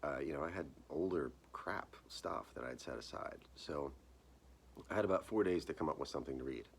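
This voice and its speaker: A man reading his own short story aloud as its author, with measured, deliberate phrasing. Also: a bass voice, creaky, breathy edge. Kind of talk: dramatic live reading of literary horror fiction.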